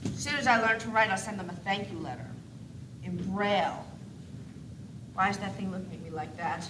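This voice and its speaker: A woman's voice: mid-range voice, brisk, natural voice. A young woman playing a grouchy, sarcastic baby doll boy in an amateur stage comedy, complaining sardonically. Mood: sullen sarcasm. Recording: echoey far-off stage, noisy old videotape.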